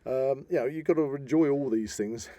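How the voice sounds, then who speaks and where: A man's voice: medium pitch, moderate pace, dark tone, no echo, lo-fi sound. A man co-hosting a podcast, talking casually and enthusiastically about films in a rambling, opinionated way. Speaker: podcast co-host. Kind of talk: casual film chat.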